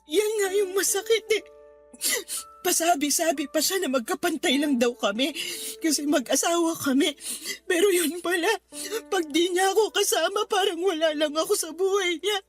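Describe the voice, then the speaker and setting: A woman's voice: very high voice, moderate pace, faint music behind. A woman with a Filipino accent playing a hurt, anxious wife and mother in a radio drama, tearful and plaintive. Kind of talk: Tagalog radio drama acting.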